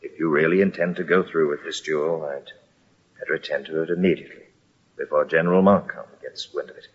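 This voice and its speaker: An obliging, cautious captain in an old radio play. His voice is low and rough-edged, with polite military formality. The recording is dull and dated. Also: fairly even intonation, brisk. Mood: serious. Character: obliging army captain, a cautious peacemaking comrade. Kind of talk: old-time radio drama acting.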